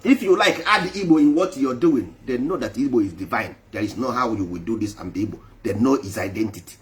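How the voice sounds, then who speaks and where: A man's voice: mid-range voice, animated, brisk, slight background noise. A man preaching emphatically to a live online audience, repeating his points for force. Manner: emphatic, repetitive, switching between English and Igbo. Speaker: traditional spiritual speaker.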